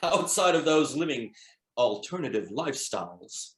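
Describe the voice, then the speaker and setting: A man's voice: mid-range voice, very animated, normal speed, a bit bright. A man at a screenplay table read playing a playful, flamboyant man with droll, theatrical delivery. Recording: echoey room.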